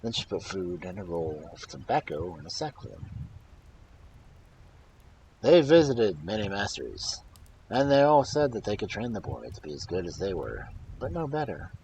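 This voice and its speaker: Low-pitched, animated male storyteller. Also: a little hiss or hum, low-quality recording. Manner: animated, dramatic pauses and emphasis. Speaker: storyteller and host of a live reading stream. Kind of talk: expressive folktale read-aloud with casual asides.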